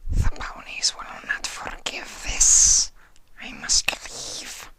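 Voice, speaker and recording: A narrator voicing a guilt-ridden changeling creature in a slow, halting, breathy whisper, hushed and pleading, for a fan fiction story.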